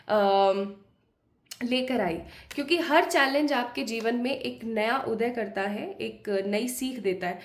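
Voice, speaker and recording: Hoarse woman reading tarot. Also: a little high, reverberant. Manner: chatty, addresses viewers directly, mixes English phrases. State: hoarse with a cold. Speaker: tarot reader. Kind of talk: pick-a-pile tarot reading to camera.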